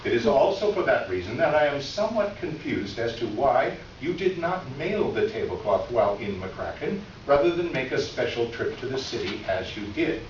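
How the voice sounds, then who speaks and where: A man playing a condescending, officious department store official, reading a letter aloud on stage in a formal, sarcastic manner with deliberate emphasis. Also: mid-range voice, big pitch swings, lightly acted. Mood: smugly polite, sarcastic. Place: live reading in an echoey room. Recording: distant echoey room, muffled, faint noise.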